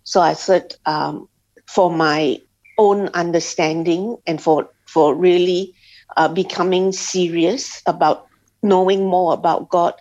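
A woman from Singapore telling her personal faith story as an interview guest, speaking haltingly with many pauses and restarts. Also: on the low side, steady tone, darkish tone. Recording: slightly dull lo-fi sound.

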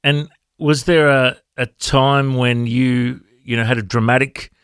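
A man's voice: mid-range voice, fairly even intonation, unhurried, fairly bright tone, clean tone, no echo, studio quality. A man hosting a radio interview who asks friendly questions and uses a lot of fillers. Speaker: radio host.

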